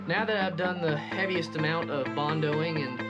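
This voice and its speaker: A man's voice: on the high side, normal speed, muffled recording, loud music behind the voice. A man presenting a hobby build in a casual, informal how-to narration style.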